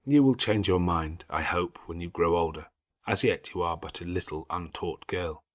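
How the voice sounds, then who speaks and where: A man voicing a calm, pious, forgiving schoolgirl in an audiobook, speaking in measured, earnest, formal Victorian phrases. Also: low voice, natural voice. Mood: earnest, admonishing. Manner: measured, gently preaching, formal Victorian diction. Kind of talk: audiobook character acting.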